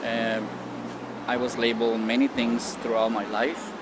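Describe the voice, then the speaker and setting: A man's voice: mid-range voice, completely flat delivery, a little slow, very noisy. Reflective middle-aged man from Costa Rica. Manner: thoughtful, with frequent ums and restarts. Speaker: interviewee. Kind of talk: reflective personal testimony.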